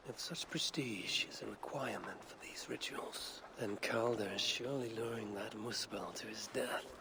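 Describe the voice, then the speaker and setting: Game hero, grim determined warrior, gravelly and creaky, clear ambient noise behind. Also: male voice, on the low side, normal speed. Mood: musing.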